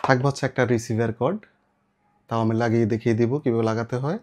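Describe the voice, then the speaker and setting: Male, Bangladeshi accent, mid-pitched. Seller's informal demo, instructional, English words mixed in, slightly noisy recording with clicks. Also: steady tone, fast talker. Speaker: shop seller presenting products. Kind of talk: informal product demonstration. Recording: clear background noise with clicks.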